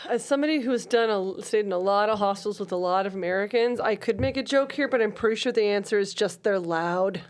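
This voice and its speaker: A woman panelist on a comedy podcast, chatting in an animated, emphatic way. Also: medium pitch, quick pace, hardly any pauses.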